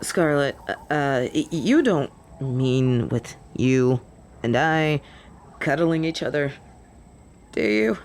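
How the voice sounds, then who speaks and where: A woman playing a cocky, smitten female werewolf in an audio roleplay, teasing and flirting in a deadpan way. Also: sits low, takes it slowly, a little hiss or hum, full character voice. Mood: flustered. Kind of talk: scripted audio roleplay acting.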